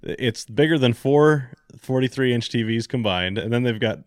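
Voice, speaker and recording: A man's voice: mid-range voice, moderate pace, dry recording, studio quality. A man chatting casually as a panelist on a podcast.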